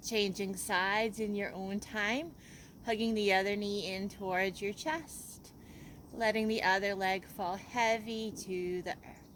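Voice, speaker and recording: Slow-paced woman guiding a gentle relaxation. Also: medium pitch, steady tone, slight background noise. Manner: gentle, unhurried, soothing instruction with pauses. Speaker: yoga and meditation teacher. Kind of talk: guided relaxation.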